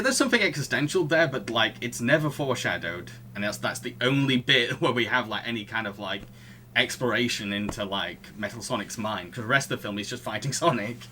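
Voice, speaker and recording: Male podcast host, bright tone, casual analytical film chat, halting delivery, faint background noise. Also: on the low side.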